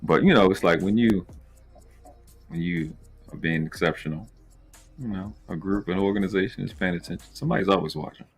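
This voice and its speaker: Guy hosting a live chat, real low voice, slangy and conversational. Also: brisk, long pauses, husky, faint music behind. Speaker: live show host. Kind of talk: casual livestream chat.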